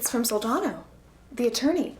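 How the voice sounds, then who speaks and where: A woman's voice: a little high, very animated, brisk. A woman playing an eager, level-headed detective's assistant in a comedy audio drama, earnest and matter-of-fact. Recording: echoey room, clear background noise.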